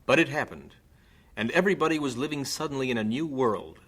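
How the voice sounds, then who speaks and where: A man with a bright, forceful voice of medium pitch narrates a radio drama. He delivers scripted scene-setting narration. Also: very animated, fast, slightly rough.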